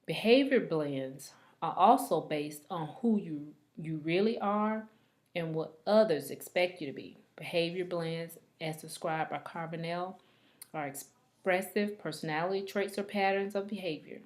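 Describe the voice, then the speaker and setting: A woman reading a scripted presentation aloud like a student, in a halting, deliberate manner. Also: on the low side, darkish tone.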